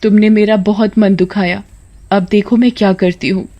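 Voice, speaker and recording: A woman's voice: mid-range voice, steady tone, normal speed, muffled recording, lightly acted. A woman voicing a magical female golden fish in a children's cartoon story, speaking in earnest, formal promises. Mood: hurt. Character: magical female golden fish, pleading, wish-granting, easily hurt.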